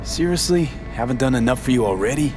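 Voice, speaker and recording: A man's voice: mid-range voice, animated, quick pace, natural voice. An actor playing a tough, cynical male street mercenary with an American accent and terse, wary delivery in a video game. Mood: skeptical. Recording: music behind the voice.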